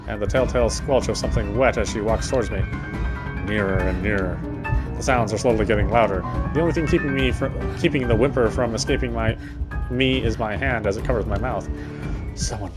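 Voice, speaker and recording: A man streaming a game, reading its text aloud and commenting casually as a player. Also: mid-range voice.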